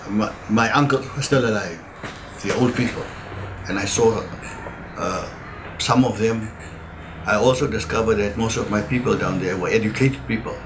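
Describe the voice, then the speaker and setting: A man in his eighties with a South African accent, giving a slow, halting oral-history testimony with many repeated words and pauses. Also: on the low side. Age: 80s. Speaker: interviewee sharing family history. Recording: recording with clear background noise.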